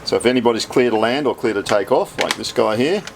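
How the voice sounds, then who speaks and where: A man's voice: medium pitch, expressive, fast, vocal fry. An Australian man in his sixties, an air traffic controller, explaining things casually in a laconic, matter-of-fact way.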